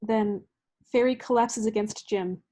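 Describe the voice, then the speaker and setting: A woman's voice: mid-range voice, unhurried, mellow. A woman reading stage directions as scripted narration for a staged play reading. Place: remote staged reading over video call. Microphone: video call microphone.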